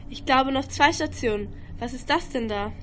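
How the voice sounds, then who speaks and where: A woman's voice: high voice, normal speed, fairly bright tone, slight background noise. A teenage girl acting a curious, matter-of-fact schoolgirl in an amateur radio play. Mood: casual, curious.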